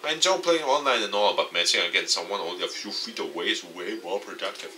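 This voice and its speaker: A man reading a visual novel aloud and commenting off the cuff, dramatic with excitable asides. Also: lowish voice, slight background noise. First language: not English. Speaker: let's play YouTuber. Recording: distant mic, music behind. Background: game music.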